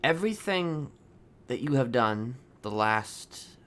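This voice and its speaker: Guy chatting in a roleplay game, very up and down pitch, stops and starts, repeats himself. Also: lively intonation, slow, fairly bright tone. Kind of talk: casual in-character chat in an online roleplay game.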